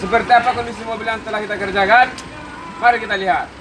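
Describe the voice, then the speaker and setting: Man, casual vlogger energy, slightly high voice, loud workshop noise behind. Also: steady tone, fast. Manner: chatty, upbeat, showing off the work. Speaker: car detailing vlogger. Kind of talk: casual on-camera narration. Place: outdoor truck workshop yard. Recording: loud engine and water-jet noise.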